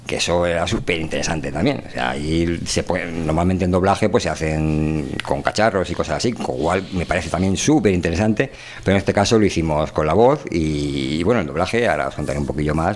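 Low-voiced Castilian Spanish man, chatting casually. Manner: slangy, rambling, unfiltered delivery. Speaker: radio show host. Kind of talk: casual radio chat, colloquial monologue.

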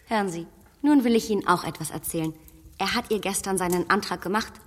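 Actress as self-assured young woman, sharp and defiant, long reverb. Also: mid-range voice, fast, lightly acted. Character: clever, self-assured young woman who likes to matchmake. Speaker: Emma. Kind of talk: radio play acting.

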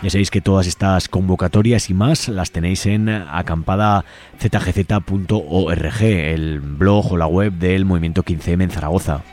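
A man's voice: low voice, fairly even intonation, moderate pace. A man hosting radio, reading out community event announcements in an informal, conversational way. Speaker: radio show host. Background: music.

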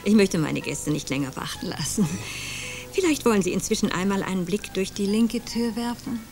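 A woman's voice: medium pitch, normal speed, soft background music, natural voice. A woman playing a cool, ironic accuser. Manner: calm, ironic, quietly menacing. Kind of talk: radio play acting.

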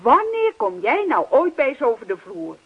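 An actress playing a brisk, exasperated wife who scolds and dismisses her husband in a radio comedy play. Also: high voice, wildly swooping pitch, breathy edge, full character voice. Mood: reproachful. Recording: muffled old radio play recording.

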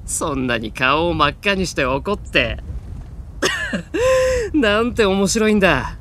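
Audio drama casting: sadistic prankster fairy, high voice, hugely varied pitch, polite yet mocking delivery. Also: male voice, very animated, slow pace, fairly bright tone, slight background noise, full character voice. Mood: gleeful, mocking. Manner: polite speech with mocking amusement. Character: mischievous, sadistic male fairy, gleefully amused by humans. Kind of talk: anime-style audio drama acting.

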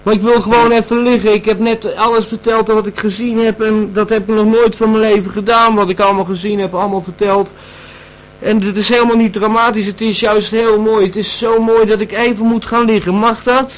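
A high-voiced actor as a dazed man in an audio play, pleading and insistent. He speaks haltingly, circling back to the same few phrases. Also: level tone, close to an everyday voice, poor audio. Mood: insistent, elated. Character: dazed, pleading man in Marbella needing a lie-down. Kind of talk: improvised-sounding Dutch audio drama.

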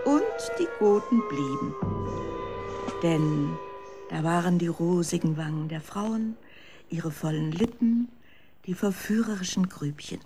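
A woman narrating a children's story in a dramatic, expressive scripted style. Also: on the low side, measured pace, faint music behind.

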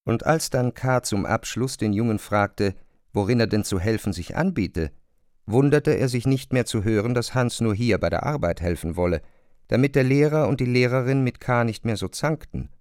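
Male radio drama narrator, mid-range voice, measured and exact, no room sound. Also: medium pitch, animated, darkish tone, clean tone, no room sound, studio quality.

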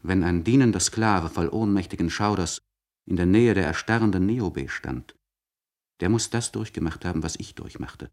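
An actor playing an anguished, articulate nobleman in a literary radio play monologue, speaking in a solemn, searching manner with deliberate phrasing and elevated diction. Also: deep voice, darkish tone. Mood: impassioned. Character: anguished, articulate nobleman confiding by letter to a friend.

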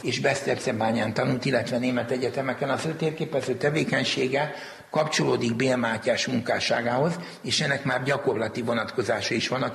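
A man being interviewed as a museum director, explaining history in formal, deliberate, scholarly phrasing. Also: medium pitch, creaky, fairly bright tone, a little hiss or hum. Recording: echoey, reverberant hall.

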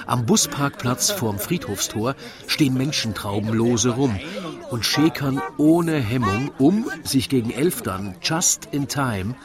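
A man with an Austrian accent narrates a scripted radio play in rhyming verse, in a wry, deliberate, theatrical way. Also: medium pitch.

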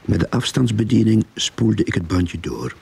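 A man's voice: fairly low pitch, very animated, moderate pace, darkish tone, exaggerated voice. An actor playing a weary, wry young novice detective, narrating his case in a radio play. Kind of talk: radio drama narration and dialogue. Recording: radio play with clear background noise.

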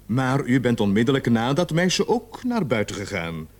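Actor as stern policeman with lively intonation. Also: medium pitch, a little hiss or hum, natural voice. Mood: stern, accusing. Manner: measured, pressing, accusatory. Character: stern, methodical police officer interrogating a suspect. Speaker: police interrogator. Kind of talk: radio drama acting.

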